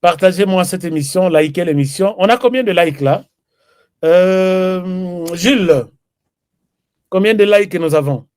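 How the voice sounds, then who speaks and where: A man's voice: high-pitched, a little slow, darkish tone, clear, resonant tone, dry recording, clean studio sound. Chatty man hosting a show. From DR Congo. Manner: chatty, switches between French and Lingala, reads viewer comments. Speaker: host. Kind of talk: live political commentary show.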